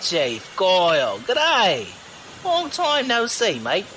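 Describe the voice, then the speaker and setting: Man playing a cocky rogue, lively pitch. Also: extremely slow, noisy background, exaggerated voice. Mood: cocky, taunting. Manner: breezy, teasing, folksy slang. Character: cocky roguish male driver-mercenary, wily and unflappable. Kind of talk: audio drama acting. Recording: music behind the voice.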